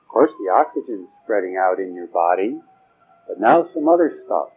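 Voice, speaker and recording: A man leading a guided meditation, giving slow spoken instructions in a soothing, permissive and informal way, with pauses. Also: low voice, sing-song. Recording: dull, muffled lo-fi recording with music.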